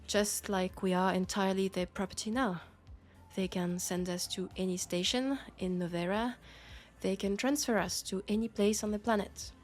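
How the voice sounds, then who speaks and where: A woman with a French accent playing a grave, caring futuristic policewoman in an intimate sci-fi audio roleplay, speaking in measured short phrases. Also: mid-range voice, steady tone, faint music behind, natural voice. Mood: grave, confiding.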